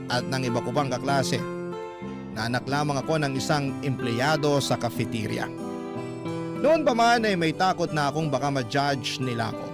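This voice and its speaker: A man narrating a listener's letter in an earnest, confessional tone for a radio program. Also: a little high. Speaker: letter reader. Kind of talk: dramatic reading of a listener's letter. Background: loud guitar music.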